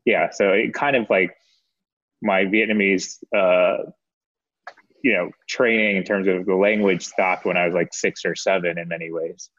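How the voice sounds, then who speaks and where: A man's voice: low-pitched, steady tone, moderate pace, fairly bright tone, no room sound. A man from the United States chatting casually about himself in a hesitant, reflective way, on a dull recording. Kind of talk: casual chat, personal storytelling. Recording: dull, band-limited audio.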